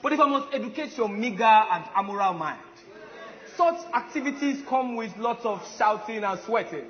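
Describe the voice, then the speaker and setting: A man's voice: high voice, animated, moderate pace. A man playing a boastful, self-important warrior commander in a comic stage play, declaiming pompously. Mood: smug. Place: stage in a large hall with audience. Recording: echoey hall, muffled, noisy audience.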